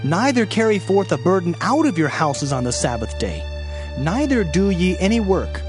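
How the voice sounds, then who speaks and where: A man narrates scripture in a solemn, dramatized style. His voice sits fairly high and moves expressively in pitch, with deliberate, declamatory phrasing over background music. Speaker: audio Bible narrator.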